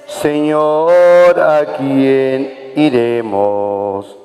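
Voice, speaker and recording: A man's voice: medium pitch, fairly even intonation, very slow, darkish tone. A man with a Mexican Spanish accent, singing a communion hymn as cantor at mass, drawn out and devotional. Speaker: church cantor. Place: parish church during mass. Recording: long church reverb with accompaniment.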